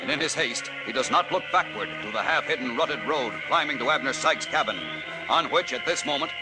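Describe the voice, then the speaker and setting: A man's voice: medium pitch, fairly quick, over loud music, rough recording. A man narrating an old radio serial as announcer, suspenseful and emphatic, on a vintage broadcast recording.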